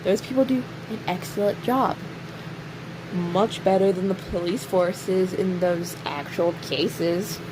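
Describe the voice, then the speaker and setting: A woman playing a snarky, cocky imprisoned serial killer in an audio roleplay, sarcastic and teasing, with pointed pauses. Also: fairly low pitch. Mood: sardonic, smug. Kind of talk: audio roleplay acting, addressing an unheard listener. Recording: steady clear background hiss or hum.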